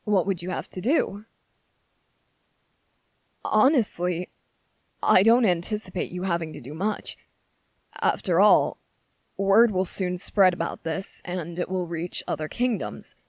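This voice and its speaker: A woman's voice: lowish voice, a little slow, pauses often, a little mellow, dry recording. A woman playing an anxious, earnest young prince in an ASMR fantasy roleplay, speaking carefully and formally with hesitant pleading. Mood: earnest, diplomatic.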